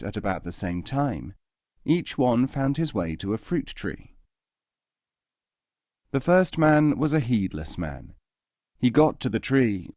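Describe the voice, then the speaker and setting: A man narrating scripted tales as an audiobook reader, in a measured, articulate storytelling manner. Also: mid-range voice, darkish tone, no room sound, clean studio sound.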